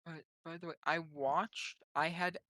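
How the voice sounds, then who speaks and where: A woman playing a tabletop game, chatting in an animated way that often trails off. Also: low voice, a little slow, no echo, studio quality. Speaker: player. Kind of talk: casual game chat.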